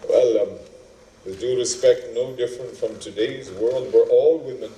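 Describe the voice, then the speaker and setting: An actor playing a learned, wry storyteller who recounts epic tales deliberately and emphatically, repeating phrases for effect, in theatrical style. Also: male voice, medium pitch, fairly even intonation, dark tone, natural voice. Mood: wry, moralizing. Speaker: stage actor in a two-hander play. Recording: echoey stage, distant microphone.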